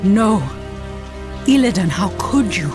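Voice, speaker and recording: Commanding elf leader over music. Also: female voice, mid-range voice, lively intonation, takes it slowly. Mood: dismayed. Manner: commanding, earnest. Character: commanding female night elf leader, urgent, resolute. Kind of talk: video game cinematic voice acting.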